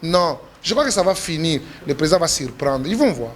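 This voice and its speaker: Congolese-accented man, high voice, politician guest, insistent and repetitive, light background hiss. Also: big pitch swings, fairly quick. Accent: Congolese French. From Democratic Republic of the Congo. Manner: emphatic, repeats himself, pitch jumps when indignant. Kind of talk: heated political interview.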